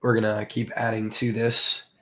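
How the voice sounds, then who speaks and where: A hoarse man from the southern United States, hosting a casual livestream and chatting with viewers. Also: lowish voice, monotone, normal speed, pushed and bright. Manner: rambling, filler-heavy, greets chat viewers. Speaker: crypto livestream host.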